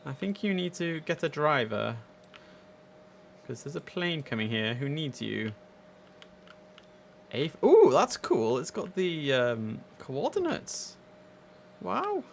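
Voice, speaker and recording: A man's voice: fairly high pitch, wildly swooping pitch, takes it slowly, gravelly, long pauses, a little hiss or hum. A young man giving chatty, enthusiastic casual commentary as he streams a game live from indoors. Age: young adult. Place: indoors at a computer.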